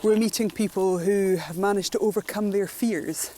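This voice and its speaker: A Scottish woman talking in a relaxed, reflective way while floating down a river outdoors. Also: medium pitch, moderate pace, a little hiss or hum. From Scotland. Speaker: presenter, endurance cyclist. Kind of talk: casual outdoor narration.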